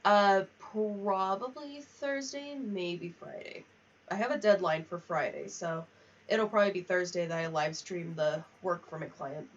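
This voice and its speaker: A woman's voice: fairly low pitch, measured pace. A young woman chatting casually on a gaming livestream, rambling from one aside to the next. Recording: distant, slightly muffled mic.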